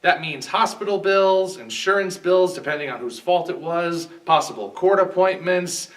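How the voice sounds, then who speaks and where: A man delivers scripted review commentary as a guest critic. His voice is fairly high and swings in very lively intonation, and it sounds set back from the microphone. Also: normal speed. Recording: distant, off-mic voice.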